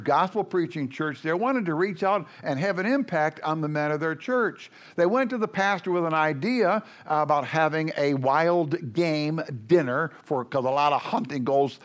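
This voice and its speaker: An older man preaching to a congregation in a loud, folksy, joking way, rambling with many fillers. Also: high voice.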